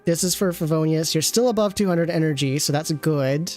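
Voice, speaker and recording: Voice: medium pitch, fairly quick, a little mellow, soft background music. A game streamer reviewing an account with casual commentary, thinking aloud and often trailing off mid-thought.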